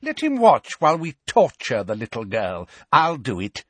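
A man voicing a cruel, fanatical female witch who shrieks and hisses in a high, strained voice. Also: wildly swooping pitch, normal speed, husky, no room sound. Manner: shrieking, hissing, menacing. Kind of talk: audiobook character voice.

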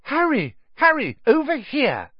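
A man voicing an excitable, bubbly schoolgirl in a storybook, gushing and inquisitive. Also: on the high side, moderate pace, breathy edge, no echo, muffled recording, pitched above the performer's own voice, exaggerated voice. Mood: excited.

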